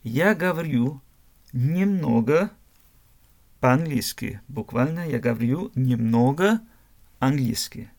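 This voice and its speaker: A man from Germany, teaching a language lesson slowly, spelling words out letter by letter and repeating phrases. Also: medium pitch, expressive, darkish tone.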